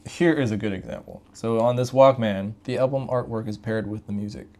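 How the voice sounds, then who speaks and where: A man's voice: fairly low pitch, a little fast, warm tone. A man chatting casually as a co-host of a product review. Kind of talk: casual chat.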